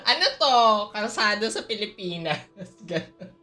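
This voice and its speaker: A Filipino woman chats casually as she streams a game. Her pitch moves around a lot and her reactions are playful and expressive. She switches languages freely as she goes. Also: normal speed, faint music behind.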